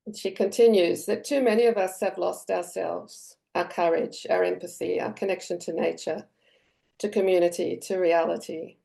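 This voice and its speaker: Australian woman presenter, dark gravelly voice, prepared reading. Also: fairly low pitch, steady tone, moderate pace, rough recording. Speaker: webinar presenter.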